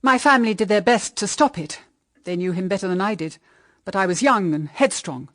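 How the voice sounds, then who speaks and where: A woman's voice: medium pitch, lively intonation, fairly quick, dry recording, clean studio sound, lightly acted. An actress with a British accent playing a middle-aged widowed mother, solemn and anguished, in radio drama style. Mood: bitter anguish. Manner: solemn, intense, melodramatic. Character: anguished, bitter widowed mother pleading with her daughter.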